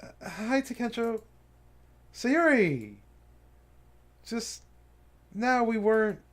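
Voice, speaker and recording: A man's voice: expressive, drawn-out words, full character voice. A man voicing a cheerful, clingy teenage girl from a visual novel, in a raised girlish voice that turns tearful. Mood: bubbly. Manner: high girlish voice, breaking into tearful pleading. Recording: faint game music behind.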